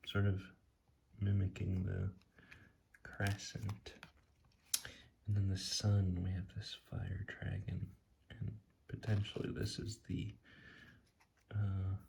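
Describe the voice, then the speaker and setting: A man with a deep, very creaky voice speaks softly in ASMR style. He muses hesitantly, letting words fade into near-whispers. A faint noise sits behind him, with soft clicks and taps. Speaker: tarot deck reviewer. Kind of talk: soft-spoken ASMR, impromptu commentary. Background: soft tapping and clicking.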